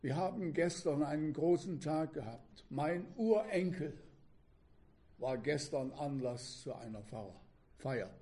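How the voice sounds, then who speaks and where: Old guy in his 80s preaching, real slow n deliberate, mellow voice, lots of pauses. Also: on the high side. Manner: measured, deliberate, halting phrases with pauses. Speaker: preacher, former religious radio broadcaster. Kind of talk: sermon opening with personal reminiscences to a congregation.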